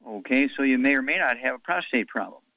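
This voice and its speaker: A man's voice: mid-range voice, lively intonation, fast talker, no echo, highs cut off. A man hosting a call-in health show on the radio, blunt and wisecracking, rattling off long lists of advice.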